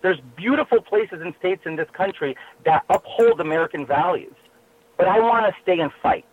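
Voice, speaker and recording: A man's voice: high-pitched, animated, moderate pace, breathy edge. A man, a political candidate speaking passionately and combatively as a guest on a talk radio show. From Queens, New York. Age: 30s. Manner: impassioned, combative. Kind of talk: talk radio phone interview. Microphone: telephone. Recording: tinny phone line.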